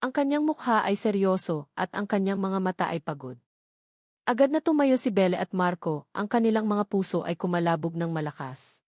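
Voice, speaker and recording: A woman narrates a sentimental drama in a scripted storytelling style. Her pitch sits in the middle range for a woman. The sound is dry and close, with no room around it. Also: animated, normal speed, darkish tone, no echo.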